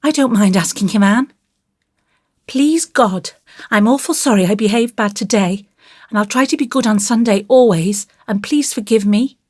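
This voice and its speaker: A woman's voice: medium pitch, moderate pace, a little mellow, dry recording, studio quality, pitched above the performer's own voice, lightly acted. A woman voicing an earnest, naughty but penitent little boy in an audiobook, pleading and blurting in childlike, colloquial speech. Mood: hasty, contrite. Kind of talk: audiobook character voice.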